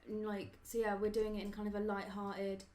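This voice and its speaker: An eighteen-year-old woman speaks earnestly in a relaxed group conversation, explaining with casual fillers. Her pitch sits in the middle. A faint hiss lies underneath. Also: moderate pace. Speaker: group member, guest. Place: meeting room.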